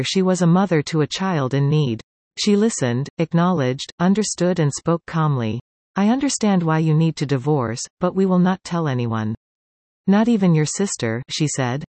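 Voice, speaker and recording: A woman in her forties from Canada reading a personal essay aloud, with careful, clearly enunciated speech. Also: deep voice, a little mellow, no room sound. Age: 40s.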